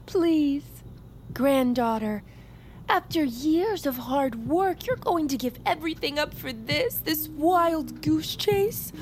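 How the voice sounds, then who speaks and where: A woman's voice: high-pitched, animated, slow, darkish tone, slight background noise, pitched above the performer's own voice. A woman voicing an earnest, disapproving older grandmother who pleads, mimicked within an audio drama. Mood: pleading, mocking.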